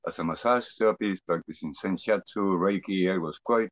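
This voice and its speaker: Deep-voiced man from Argentina, halting and reflective. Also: dry recording. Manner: halting, reflective, searching for words. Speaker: interview guest. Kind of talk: personal testimony in conversation.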